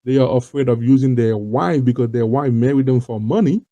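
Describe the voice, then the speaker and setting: A man's voice: medium pitch, brisk, mellow, dry recording. A man from Haiti with a Haitian accent, talking earnestly to his viewers in an informal monologue, full of fillers and repetitions. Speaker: host of an online talk show. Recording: dull lo-fi dry recording.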